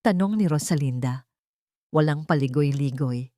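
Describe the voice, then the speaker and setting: A woman narrating a scripted romantic drama in measured, dramatic phrases with pauses. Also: low-pitched, mellow, no echo, clean studio sound. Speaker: audio story narrator.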